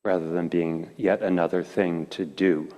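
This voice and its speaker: Low male voice, meditation teacher, gentle slow phrasing, long pauses, long hall reverb. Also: steady tone. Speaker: meditation teacher leading a guided practice. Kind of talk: guided meditation.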